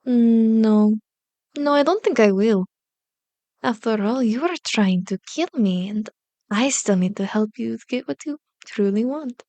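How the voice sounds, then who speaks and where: Slow, condescending vampire seductress, acted by a woman. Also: a little high, dark tone, dry recording. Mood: taunting, commanding. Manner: slow, commanding, mock-sweet taunting with whispered words. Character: powerful female vampire, seductive, condescending, manipulative captor. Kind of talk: ASMR roleplay.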